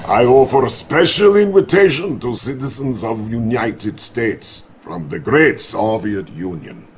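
Soviet villain, Russian accent, grandiose, slow and gravelly declamation for a game cinematic. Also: male voice, fairly high pitch, fairly bright tone, slight background noise, full character voice. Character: menacing, grandiose Soviet male leader. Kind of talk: theatrical video game propaganda speech.

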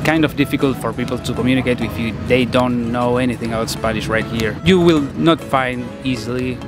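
A man being interviewed in a city park, answering in halting, simple English. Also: medium pitch, over music. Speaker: street interviewee. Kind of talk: casual street answers in second-language English.

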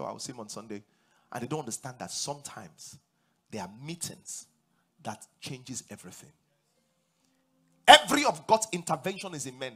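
A man in his forties with a Nigerian accent, preaching a sermon on a large church stage, animated and rising to loud emphatic declarations. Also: medium pitch, husky. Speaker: pastor.

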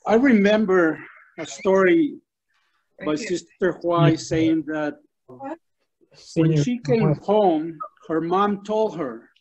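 Older man with a high, slow voice. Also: a little mellow, highs cut off. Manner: slow, deliberate recollection. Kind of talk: group discussion comment. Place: home study with bookshelves. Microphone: video call.

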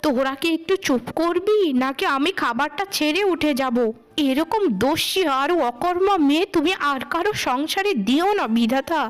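A woman's voice: sits high, expressive, moderate pace. A woman voicing a fed-up village mother of three daughters in a cartoon story, with a nagging manner. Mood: exasperated.

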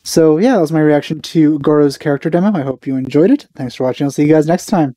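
A man in his thirties giving casual, low-key reaction commentary as a video host, close on the mic. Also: fast, dark tone, no echo. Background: faint music.

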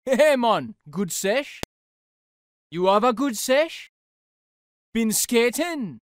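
An actor playing a cheerful, laid-back Rasta skate shop keeper in a video game, with a Jamaican accent and a friendly, jokey, singsong delivery. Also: male voice, very high voice, big pitch swings, drawn-out words, heavily acted. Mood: friendly, easygoing. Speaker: video game shopkeeper character. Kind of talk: video game character voice lines.

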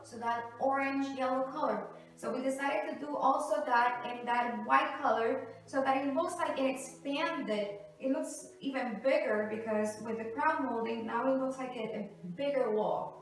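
Female home vlogger, middle-aged, high-pitched and chatty, recorded from a distance in a strongly reverberant hallway. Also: a bit bright. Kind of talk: casual home tour talk to camera. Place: large two-storey house hallway and stair landing. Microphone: camera mic a few metres away. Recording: echoey hallway, distant camera microphone.

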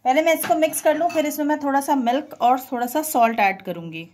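A woman's voice: high-pitched, fast, a little mellow. A woman presents a home recipe step by step, conversational and instructional, mixing in English words. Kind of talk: step-by-step cooking tutorial voice-over.

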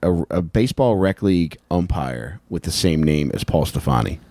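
A man's voice: low-pitched, expressive, moderate pace, warm tone, no echo. An American man in his thirties telling stories casually as a comedy podcast co-host, with slangy, sweary talk into a handheld mic. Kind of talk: casual comic storytelling. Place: small wood-panelled podcast room, indoors. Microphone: handheld microphone held close.

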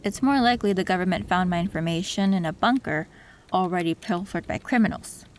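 A woman voicing a gentle young tech fixer in an audio roleplay, soft and reflective. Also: on the low side, brisk, slight background noise, lightly acted. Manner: soft, soothing, reflective with small laughs. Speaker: voice actor.

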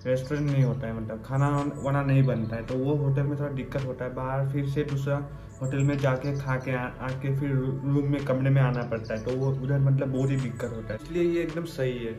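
A young man with a middling voice chats loosely in a hotel room, piling up fillers as he goes. The room rings with echo, and music plays clearly over him. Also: medium pitch, fairly even intonation, fairly quick. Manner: chatty, many fillers. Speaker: travel vlogger. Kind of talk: casual vlog talk.

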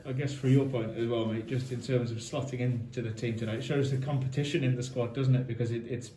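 A man reporting after a match, asking brisk, chatty questions. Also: mid-range voice, fairly even intonation, warm tone, slight background noise. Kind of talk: post-match interview questions. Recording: echoey room, voice from off the mic.

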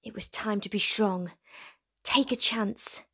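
A woman reading a children's storybook aloud expressively as host, with dramatic pauses and hushed emphasis. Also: medium pitch, vocal fry, husky.

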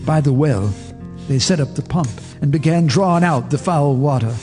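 A man narrating in the first person for a radio drama, measured and grave. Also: a little high, warm tone. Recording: radio drama with faint music underneath.

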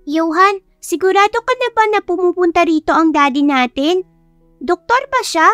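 Woman doing little kid voice for audiobook story, high pitched n eager, bit cheeky, music under. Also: lively intonation, normal speed, fairly bright tone, dry recording. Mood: curious, scheming. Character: eager, mischievous young child, one of two siblings. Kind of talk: dramatized audiobook dialogue.

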